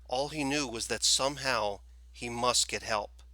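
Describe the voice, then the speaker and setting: A man reading a children's story aloud as expressive scripted narration. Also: medium pitch, measured pace, dry recording. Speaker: storybook reader, teacher.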